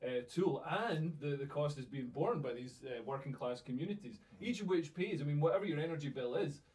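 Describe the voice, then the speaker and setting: A man's voice: on the high side, fairly quick, a little mellow, distant mic. A man speaking as a guest panelist in a small studio chat, fluent and sardonic. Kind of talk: casual political chat. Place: small studio with leather armchairs.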